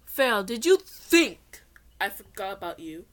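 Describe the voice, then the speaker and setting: A woman voicing a stern, angry male priest who scolds loudly in an amateur story read-aloud. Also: mid-range voice, sing-song, a little slow. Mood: menacing.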